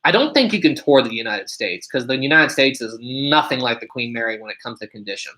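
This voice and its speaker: A young man with a deep voice hosting a casual live stream, reading viewer questions aloud and answering them one by one. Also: lively intonation, a little fast, bright, forceful tone, low-quality recording.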